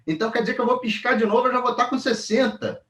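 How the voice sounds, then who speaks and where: A man's voice: very high-pitched, very fast, a bit bright. A man in his forties with a Brazilian accent co-hosts a comics review in casual, colloquial chat. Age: 40s. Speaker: co-host of a comics review channel. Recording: roomy echo, distant mic.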